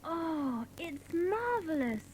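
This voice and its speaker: A high, gushing young schoolgirl. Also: big pitch swings, slow pace, a little mellow, slight background noise, exaggerated voice, low-quality recording. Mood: delighted. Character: bright, dreamy, enthusiastic young schoolgirl. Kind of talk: dramatised children's audiobook acting.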